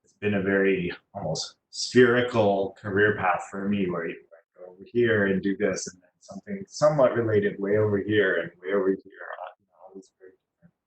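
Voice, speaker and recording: A man speaking as a guest, giving a casual, halting, chatty career talk to students. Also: low voice, fairly even intonation. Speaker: guest speaker, musician and designer. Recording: echoey room, faint background noise.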